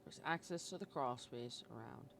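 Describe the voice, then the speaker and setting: A man's voice: medium pitch, normal speed, gravelly, noisy background. A man streaming a game live, giving informal commentary and reading aloud as he plays.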